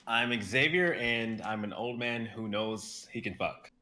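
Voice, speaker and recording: A man's voice: lowish voice, unhurried, noisy background. A young man chatting playfully with castmates on a live stream, goofing around and pleading half in jest. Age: young adult. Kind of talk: casual stream chat.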